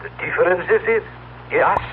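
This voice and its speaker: A man's voice: high-pitched, normal speed, lightly acted. An actor playing a solemn, weary man from the far future, with formal, stilted phrasing, in an old-time radio drama. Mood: patient, solemn. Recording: tinny, noisy old radio recording.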